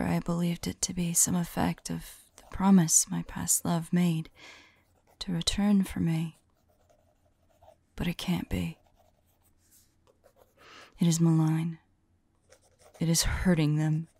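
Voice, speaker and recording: Woman acting a hushed, husky, heartbroken mage. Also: fairly low pitch, dry recording, heavily acted. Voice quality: soft, breathy, husky. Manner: hushed, halting, mournful, intimate. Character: anguished lovelorn woman mage recording journal entries about cursed lover. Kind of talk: slow dramatic monologue, ASMR audio roleplay.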